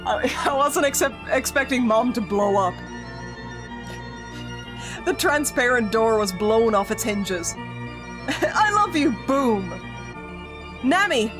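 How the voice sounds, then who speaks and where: A woman streamer reading a spooky visual novel aloud, breaking into jokey, excitable reactions between calm narration, with music behind her. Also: on the high side, takes it slowly, long pauses.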